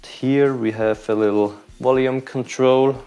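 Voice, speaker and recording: A man hosting a tech channel, giving calm, short remarks in an unboxing voice-over. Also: mid-range voice, unhurried, clear, resonant tone, lo-fi sound.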